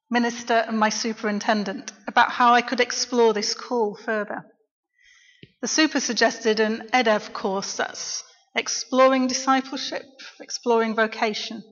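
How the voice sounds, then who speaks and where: A middle-aged woman, a local preacher, giving a quiet, reflective personal testimony in church. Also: fairly high pitch. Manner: soft-spoken, reflective. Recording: dull, echoey church recording.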